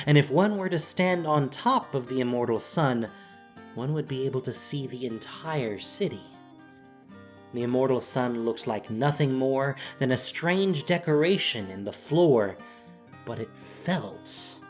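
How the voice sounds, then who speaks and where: A man narrating a scripted fantasy audio drama in a deliberate, dramatic way with weighty pauses. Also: mid-range voice. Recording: faint music behind.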